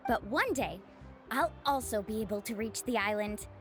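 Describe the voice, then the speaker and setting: A woman's voice: fairly high pitch, lively intonation, normal speed, close to an everyday voice. A woman voicing a friendly, confident young adventure guide in a video game. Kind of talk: video game character acting. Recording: faint music behind the voice.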